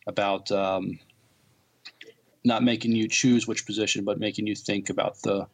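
Male, fairly low pitch, podcast co-host, casual delivery, halting and hesitant phrasing. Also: level tone. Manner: halting, hesitant, stumbling over words. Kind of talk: casual podcast talk and reading out news.

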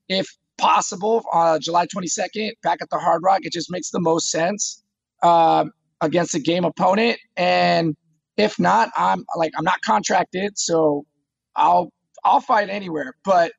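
A man's voice: high-pitched, creaky, no room sound, muffled recording. A man in his thirties, a fighter talking casually in an interview, eager and often repeating words as he starts a phrase. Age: 30s. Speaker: MMA fighter guest.

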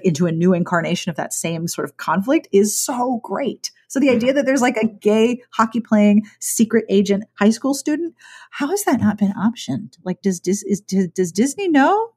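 A woman's voice: mid-range voice, a little mellow, husky. A woman hosting a podcast, chatting casually and enthusiastically, with lots of "like" fillers. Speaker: podcast host. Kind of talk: casual interview chat.

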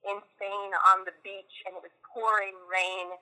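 A woman's voice: mid-range voice, level tone, moderate pace, sharp tone, smooth. An American woman talking as an interview guest over a phone line, enthusiastic, often repeating words as she gathers her thoughts. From USA. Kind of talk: casual interview chat.

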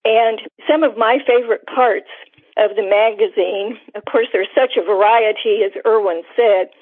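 A woman's voice: fairly high pitch, fairly even intonation, normal speed, slightly rough. A middle-aged or older woman calling in to a program with an effusive testimonial. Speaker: caller, long-time subscriber. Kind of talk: appreciative call-in testimonial. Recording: tinny telephone line.

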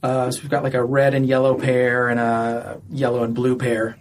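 A man's voice: medium pitch, monotone, normal speed, far from the mic, a little hiss or hum. A man speaking as a podcast guest in casual, matter-of-fact sneaker chat. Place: podcast studio, indoors.

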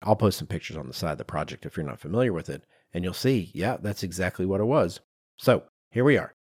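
Man, podcast host, lowish pitch, very lively intonation, informal explainer, storytelling, dry sound. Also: fast, slightly rough, dry recording. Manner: informal, animated storytelling with acted-out asides. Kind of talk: conversational explainer of design history.

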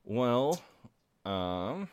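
A man's voice: mid-range voice, sing-song, extremely slow, darkish tone, clear, resonant tone, dry recording. A man co-hosting a podcast in casual chat, swearing freely and laughing at himself, congested. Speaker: podcast co-host.

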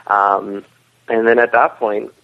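A man's voice: lowish voice, level tone, slow, creaky. A man talking casually as an interview guest, a web series creator explaining his work. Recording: tinny phone-like line.

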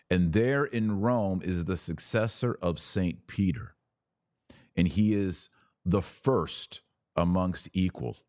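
A man with a deep voice hosts a religious commentary podcast. He explains things like a teacher, deliberately and with emphasis, repeating key phrases. The sound is dry, with very little room in it. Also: darkish tone, no echo.

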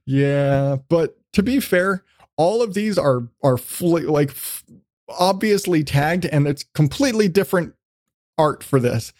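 Male podcast host, on the higher side, very dry recording, loose conversational delivery with casual profanity. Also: expressive, measured pace, darkish tone, no room sound. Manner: rambling, casually sweary.

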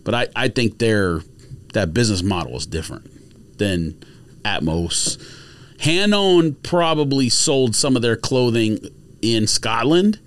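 Animated man arguing on a podcast. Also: medium pitch, unhurried. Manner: animated, argumentative, explains at length. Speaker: podcast co-host. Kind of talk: casual podcast chat.

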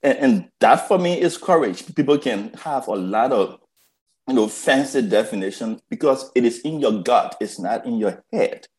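A man from Liberia, a podcast guest telling stories in a slow, emphatic way and often repeating words as he starts a thought. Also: medium pitch, expressive, darkish tone. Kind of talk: reflective conversational storytelling.

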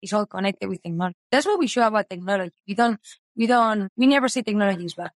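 A woman's voice: mid-range voice, animated, racing, dry recording. Woman, Spanish accent, 30s, guest author, earnest and eager, dry recording. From Spain. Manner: earnest, eager, with non-native phrasing. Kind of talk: casual interview chat.

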